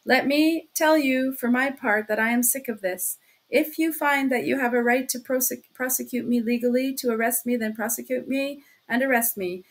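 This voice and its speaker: A woman's voice: high-pitched, very animated, moderate pace, few pauses, slightly rough, full character voice. A woman voicing a proud, furious young male suspect in an audiobook, speaking distinctly and defiantly. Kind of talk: audiobook character acting.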